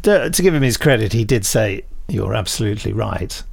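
A man's voice: fairly low pitch, lively intonation, brisk, vocal fry, slightly rough. A man hosting a podcast in casual banter, self-mocking and enthusiastic as he introduces audio drama. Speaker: podcast host.

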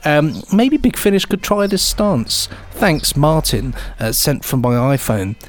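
A man's voice: a little high, big pitch swings, measured pace. A man co-hosting a podcast, chatting casually and reading out listener emails with affable, slightly hesitant phrasing. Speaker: podcast co-host.

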